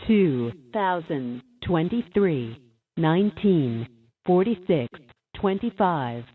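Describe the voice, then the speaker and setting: Slow automated woman reading time stamps. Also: lowish voice, expressive. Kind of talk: stilted recorded date and time announcement. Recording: narrow telephone recording.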